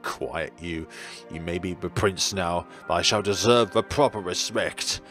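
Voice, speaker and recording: A man voicing a haughty, commanding cat who is an almighty sea god, with a deep voice, for a visual novel. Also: a little slow, fairly bright tone, faint music behind. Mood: haughty, stern. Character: pompous talking cat, an almighty male sea deity.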